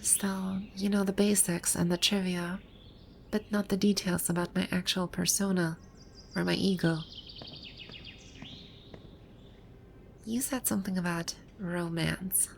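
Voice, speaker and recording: A woman playing a proud, teasing video game villainess come to life, speaking softly in an intimate ASMR roleplay. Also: lowish voice, steady tone, moderate pace, a little hiss or hum. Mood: smug, guarded. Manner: smug, teasing, soft-spoken with breathy asides. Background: birdsong and outdoor ambience sound effects.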